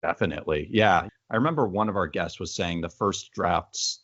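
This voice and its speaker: A man's voice: low voice, normal speed, fairly bright tone, dry recording, dull audio. A man speaking as an interviewer in a conversational literary discussion.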